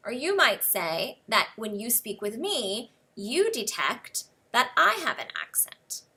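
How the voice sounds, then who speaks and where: An American woman teaching English one-on-one, explaining words slowly and spelling them out letter by letter. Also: a little high, big pitch swings, sharp tone.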